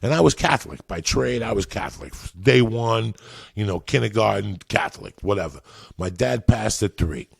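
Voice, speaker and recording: A man in his fifties, born in Cuba and raised around New York, tells stories fast and profanely. His voice is very deep, gravelly and husky, and it sounds a little stoned. It is recorded dry and close. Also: expressive, no room sound. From Cuba, raised in New York and New Jersey. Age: 50s. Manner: profane, streetwise, rapid storytelling. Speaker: guest comedian. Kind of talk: storytelling.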